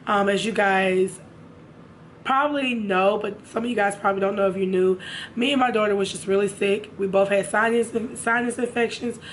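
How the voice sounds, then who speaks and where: A young woman with a raspy, hoarse voice, chatting casually to her viewers about makeup in a room at home. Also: medium pitch, moderate pace, slight background noise, poor audio. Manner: chatty, addresses viewers directly. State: hoarse from a sinus infection. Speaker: beauty vlogger. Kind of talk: casual makeup haul chat.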